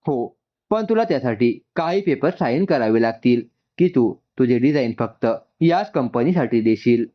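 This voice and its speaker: A man's voice: mid-range voice, expressive, normal speed, muffled recording, close to an everyday voice. A man voicing a businesslike office manager who explains company rules firmly. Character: brisk male office manager, firm and businesslike.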